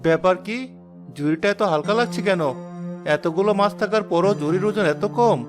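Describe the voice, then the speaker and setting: A man's voice: high voice, fairly quick, over music, lightly acted. A man voicing a kind, earnest young village fisher boy in a cartoon. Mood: puzzled. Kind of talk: cartoon character acting.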